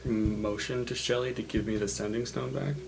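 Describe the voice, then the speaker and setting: A man's voice: mid-range voice, steady tone, normal speed, gravelly. A man playing a tabletop game, casually narrating his character's choices as he improvises. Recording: background music under voice.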